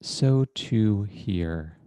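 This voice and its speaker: A man with a fairly low, dark, relaxed voice guides a meditation at an extremely slow pace. His phrasing is formal and literary, delivered deliberately in short pieces with long pauses. The sound is close and dry. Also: clear, resonant tone, no echo.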